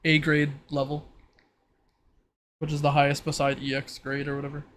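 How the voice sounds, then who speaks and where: A man with a fairly high, bright and forward voice acts as game master. He casually explains tabletop game rules in a conversational manner, with faint noise behind him. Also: completely flat delivery, normal speed.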